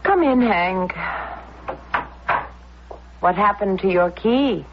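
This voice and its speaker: A woman playing a ruthless, seductive lover in a radio drama, deliberate, insistent and coolly commanding. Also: mid-range voice, animated. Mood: coolly inviting. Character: seductive, ruthless, world-hungry scheming lover. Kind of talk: old-time radio drama acting. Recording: dull lo-fi radio recording, faint noise.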